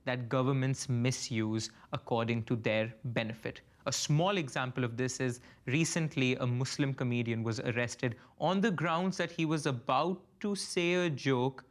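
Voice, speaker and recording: Man, young, mid-pitched, measured and emphatic, interview. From India. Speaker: student interviewee. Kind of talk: documentary interview.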